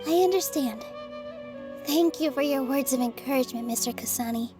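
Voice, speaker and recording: An actress playing a gentle, earnest young theater dancer with a soft, polite manner. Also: female voice, high-pitched, a little fast, music in the background, lightly acted. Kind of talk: video game voice acting.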